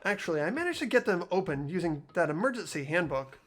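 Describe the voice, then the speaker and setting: Performer voicing an unsure, good-hearted teen boy; stammers, pitch swings widely. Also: big pitch swings, quick pace, soft background music. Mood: earnest. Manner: hesitant, stammering, earnest. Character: earnest, hesitant teenage boy student. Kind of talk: amateur voiced game playthrough.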